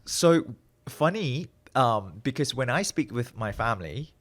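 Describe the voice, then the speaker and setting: A man in his thirties from Malaysia, with a Malaysian-British accent that carries Midlands traces, speaking as a guest researcher in a reflective, animated way. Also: mid-range voice, a little slow. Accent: Malaysian-British English with Midlands traces. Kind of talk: conversational interview.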